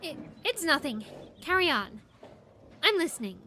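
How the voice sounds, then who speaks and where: Impish kid genius whos secretly old, boastful n teasing, super high n bouncy, audio drama, bit of noise. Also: female voice, sing-song, bright, forceful tone, heavily acted. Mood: musing, coy. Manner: teasing, haughty, quick to boast. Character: impish elderly scientist woman in a young girl's body. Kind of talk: fan audio drama acting.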